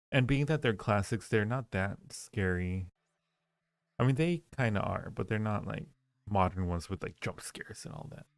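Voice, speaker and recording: Male livestream host, low gravelly voice, thinks out loud conversationally, close dry sound. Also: no echo. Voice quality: low-pitched, gravelly creak. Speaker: livestream host reading viewer chat. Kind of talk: casual livestream chat with viewers.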